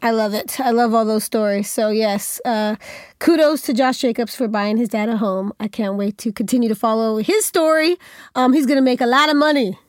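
A woman's voice: a little high, normal speed, no room sound, studio quality. A woman in her thirties co-hosting a sports podcast, upbeat and chatty, slightly nasal from a cold. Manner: upbeat, chatty, laughs easily. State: has a cold. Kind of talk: casual sports chat.

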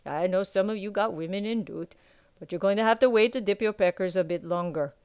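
A woman voicing a gruff male sea captain who talks in rough sailor speech and keeps tagging his lines with "aye.". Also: medium pitch, a little fast, darkish tone, no room sound, studio quality, close to an everyday voice. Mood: commanding.

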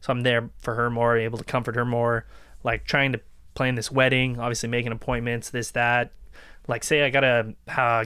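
A man's voice: mid-range voice, fairly bright tone, no room sound. A young Canadian man chatting casually and telling stories in a rambling, colloquial way. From Ontario, Canada. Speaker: podcast guest and co-worker of the host. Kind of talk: casual chat, storytelling.

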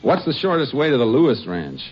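An actor playing a calm, measured Texas Ranger who narrates his own case, in a 1950s radio drama. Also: medium pitch, slightly rough. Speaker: narrator and lead. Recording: muffled old radio broadcast.

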